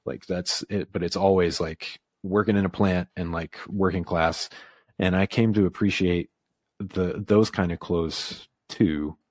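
Man from the american south, very low voice, dry close sound, rambling, lots of fillers. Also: steady tone, unhurried, no room sound. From Southern United States. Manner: rambling, many fillers and restarts, laughs often. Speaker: podcast guest. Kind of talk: casual conversation.

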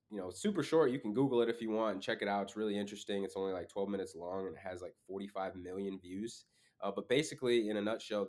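Low-voiced, stuffed-up man hosting a podcast. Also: a little mellow. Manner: conversational, with frequent ums and uhs. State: stuffed-up nose, sniffly. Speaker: podcast host. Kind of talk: casual solo podcast talk.

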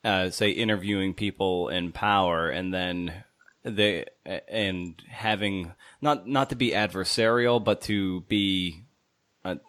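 Male podcast host, low voice, dry close sound, loose and searching interview questions. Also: steady tone, fairly bright tone, no echo. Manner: halting, meandering questions. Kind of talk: casual conversational interview. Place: small untreated home office.

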